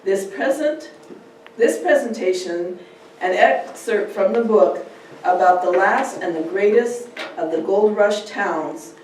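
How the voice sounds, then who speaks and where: A woman's voice: mid-range voice, lively intonation, takes it slowly, a little mellow. A woman reading out a prepared introduction for a speaker, as if presenting at a club meeting. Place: large echoey indoor room. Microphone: distant camera microphone. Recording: echoey room from far off, hiss.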